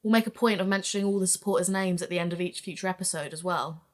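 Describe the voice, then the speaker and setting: A woman's voice: lowish voice, fast, natural voice. A woman playing a chatty, teasing young podcaster who investigates crimes in an audio drama.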